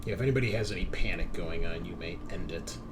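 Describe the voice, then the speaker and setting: A man's voice: deep voice, quick pace. A man hosting a tabletop game as game master, narrating casually with joking, sweary banter. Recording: background noise, room ambience.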